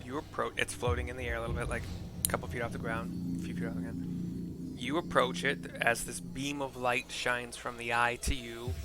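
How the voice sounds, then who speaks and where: A man's voice: mid-range voice, monotone, normal speed, over loud music. A man narrating a video game playthrough in a casual, offhand way, describing scenes with muttered asides.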